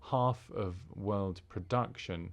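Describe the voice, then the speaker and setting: Guy interpreting a speech, low gravelly voice, stops and starts a lot. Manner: halting, with fillers and restarts.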